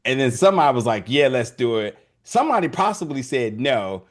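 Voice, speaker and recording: A young man hosts a relaxed video interview, his voice at an ordinary pitch for a man. He talks in loose, rambling runs, circling back and restarting his questions over and over. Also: brisk. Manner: rambling, with many restarts and fillers.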